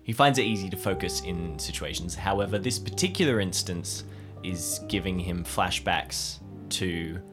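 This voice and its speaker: A man improvising narration about his character in an audio drama, halting as he thinks aloud. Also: low voice, expressive, over music.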